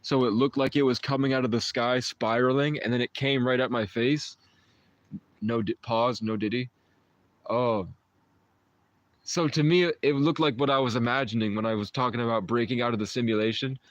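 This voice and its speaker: A man's voice: mid-range voice, steady tone, normal speed, muffled recording. A man in his twenties, a livestream host, chatting and rambling with viewers in a meandering, mystical way, full of restarts and short chuckles. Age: 20s.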